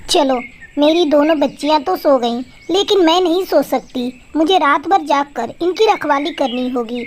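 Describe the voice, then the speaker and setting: A woman's voice: high-pitched, moderate pace, natural voice. A woman voicing a worried, protective mother sparrow in a children's moral-story cartoon. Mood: watchful, worried. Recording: clearly noisy background.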